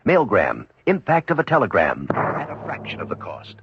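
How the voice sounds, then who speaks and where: A male announcer reads a 1970s radio ad in a very lively way. The recording is dull with faint noise. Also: mid-range voice, moderate pace. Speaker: commercial announcer.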